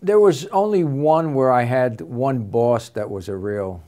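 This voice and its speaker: An older man, a former undercover agent, talking plainly and low-key as an interview guest, often repeating words as he starts. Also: a little slow, mellow. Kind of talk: casual interview talk.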